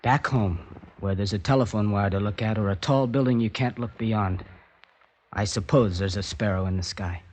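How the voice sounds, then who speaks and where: An actor playing an earnest, introspective American poet abroad with an American accent in a 1950s radio drama. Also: male voice, fairly low pitch, moderate pace, a little mellow, close to an everyday voice. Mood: tender. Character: American poet abroad, troubled and devoted husband.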